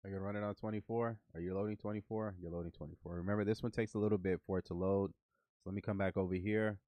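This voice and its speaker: A man's voice: sits low, fairly even intonation, fast, no echo, muffled recording. A man streaming live as he codes trading bots, sounding nasal while getting over the flu and muttering to himself and to his bots. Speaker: livestream host coding trading bots. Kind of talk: casual live coding stream.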